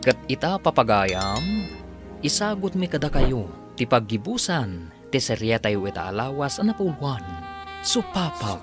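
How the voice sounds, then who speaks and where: Animated male radio drama announcer over music. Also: mid-range voice, measured pace. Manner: emphatic, theatrical.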